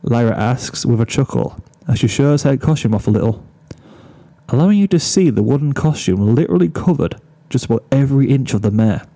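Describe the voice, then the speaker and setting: A man's voice: medium pitch, expressive, fairly quick. A man narrating a scripted piece of fan fiction as an amateur story reader. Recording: soft guitar music behind. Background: quiet plucked guitar music.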